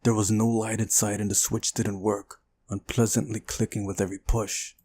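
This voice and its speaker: A man's voice: on the low side, level tone, normal speed, warm tone, slightly rough. A man hosting a visual novel playthrough, reading the narration aloud and adding casual commentary. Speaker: let's play host.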